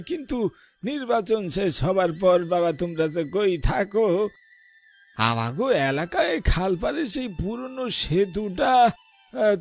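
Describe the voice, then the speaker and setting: An elderly cartoon villager with a notably high voice and a rural Bengali dialect. He is weary, folksy and given to grumbling. Light music runs underneath. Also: full character voice. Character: complaining old male villager, plain-spoken and weary. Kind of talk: dramatized cartoon dialogue.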